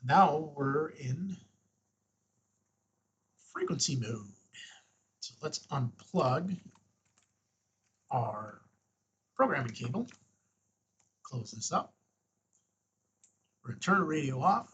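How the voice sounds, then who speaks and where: A man with a husky, rough-edged voice presenting a casual step-by-step tutorial, informal and thinking aloud as he goes. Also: a little high, very animated, takes it slowly, long pauses, a bit bright. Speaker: host, ham radio tutorial presenter.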